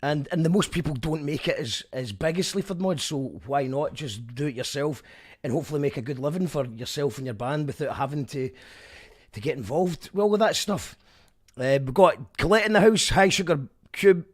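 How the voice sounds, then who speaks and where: A man, a radio host and band musician chatting casually in an animated, rambling way, often correcting himself. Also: fairly high pitch, moderate pace, slightly rough. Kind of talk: casual chat.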